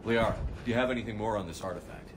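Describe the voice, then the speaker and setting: An actor playing a decisive, hardened military commander who speaks curtly. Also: male voice, on the low side, rapid-fire, background noise, lightly acted. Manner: curt, commanding. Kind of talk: video game cutscene acting.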